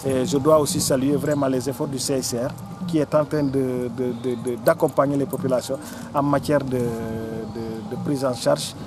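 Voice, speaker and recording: A Senegalese man gives an interview in a mid-pitched voice. He speaks haltingly, often repeating small words while he looks for the next phrase. Music is clearly audible behind him. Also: fairly even intonation. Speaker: local official or forum organiser. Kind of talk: interview answer recounting local history.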